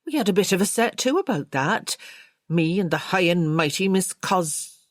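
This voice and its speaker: A woman's voice: lowish voice, animated, normal speed, no echo, clean studio sound, lightly acted. A woman voicing a gossipy, opinionated servant woman with colloquial speech in an audiobook.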